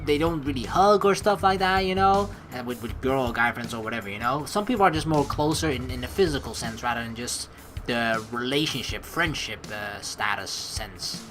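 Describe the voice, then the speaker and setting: A woman hosting a let's play, reading game narration aloud and adding chatty, rambling commentary in an expressive way. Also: extremely low pitch, normal speed, soft background music.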